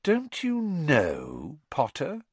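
A man voicing a spiteful schoolboy bully in a storybook, taunting in a quiet, sneering drawl. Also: lowish voice, animated, a little slow, no room sound.

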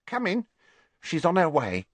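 Man putting on a shrill, scruffy servant girl. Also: huge pitch swings, measured pace, no echo, heavily acted. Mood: casual. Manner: informal, hesitant then bellowing. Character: scruffy, bewildered young servant girl, casual, loud.

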